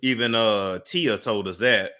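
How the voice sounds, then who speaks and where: A man's voice: lowish voice, a little slow, bright, forceful tone. A young man hosting a gossip commentary show, laughing and mocking the clips he reacts to. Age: young adult. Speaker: commentary host.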